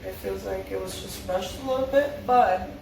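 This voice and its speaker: A woman's voice: mid-range voice, normal speed, vocal fry, background noise. A woman speaking as a fan, reading script lines aloud or chatting casually. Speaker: fan table reader and commentator. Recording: very echoey, far from mic, music behind.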